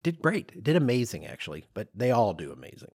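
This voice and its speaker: A middle-aged man co-hosting a podcast in a conversational, animated and emphatic way, with some self-deprecation. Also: fairly low pitch, quick pace, creaky, slightly rough, no echo, studio quality. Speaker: podcast co-host.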